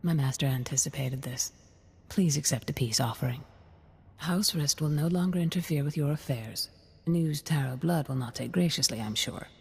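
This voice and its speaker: A man voicing a formal, diplomatic servant of a noble house in a video game, with a husky, creaky voice. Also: on the high side, moderate pace, darkish tone, full character voice. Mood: smooth diplomacy. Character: courteous, sinister servant messenger of a noble house. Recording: long hall reverb.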